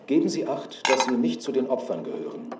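Male actor as sober radio announcer: medium pitch, distant, formal delivery under loud household noise. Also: lively intonation, a little fast, close to an everyday voice. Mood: formal, cautionary. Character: sober male radio newsreader giving holiday traffic warning. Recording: distant roomy radio, loud dish clatter.

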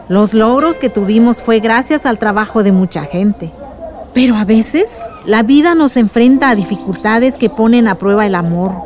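A woman playing a warm, reflective village aunt who recounts the past in poetic phrases in a radio drama. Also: medium pitch, lightly acted. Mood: fond, nostalgic.

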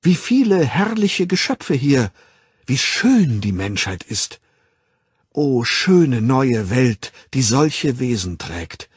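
Man acting a fervent young dreamer, swinging wildly in pitch. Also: sing-song, slow pace, husky, no echo, studio quality, heavily acted. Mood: rapturous awe. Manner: rapturous, declamatory, old-fashioned wording. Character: young male outsider, dreamy, fervent, naive, declaiming poetry.

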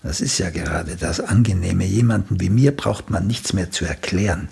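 A man's voice: deep voice, normal speed, vocal fry, darkish tone, husky, full character voice. An older male actor playing a blunt, vain, once celebrated playwright in a German radio play, opinionated and sardonic in manner. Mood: stubborn, cutting. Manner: opinionated, sardonic, tags questions with "gell".

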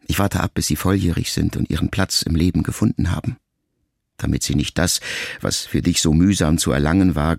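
Low, gravelly, reflective grown son. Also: male voice, darkish tone, exaggerated voice. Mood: quiet, tender. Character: reflective grown son addressing his mother, sombre and tender. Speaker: radio play actor. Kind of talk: intimate literary radio play monologue.

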